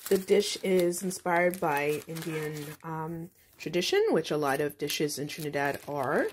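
Low-voiced woman chatting through a cooking vlog. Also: a little slow, a little hiss or hum. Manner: relaxed, chatty, with frequent ums. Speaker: author hosting a cooking video. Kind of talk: casual cooking vlog narration. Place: home kitchen.

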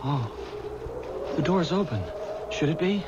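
An actor playing a nervous, hesitant, frightened companion in a 1970s radio horror drama. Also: male voice, fairly high pitch, expressive, measured pace. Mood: nervous, reluctant. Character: frightened, reluctant companion in a graveyard. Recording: muffled, very noisy old radio recording.

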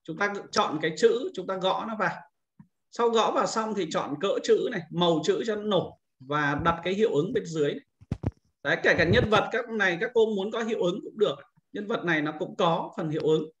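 A man's voice: sits high, brisk, a bit bright, highs cut off, slight background noise. A man giving an informal screen-recorded tutorial as an instructor, explaining things step by step and often repeating himself.

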